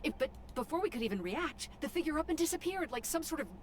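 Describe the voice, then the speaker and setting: Earnest, high, lively young woman. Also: quick pace, slight background noise. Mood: urgent. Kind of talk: anime-style game voice acting.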